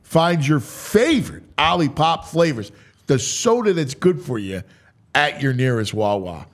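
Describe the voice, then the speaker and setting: A man's voice: fairly high pitch, very animated, measured pace. A man hosting a casual sports talk show, speaking emphatically and conversationally and repeating phrases for emphasis.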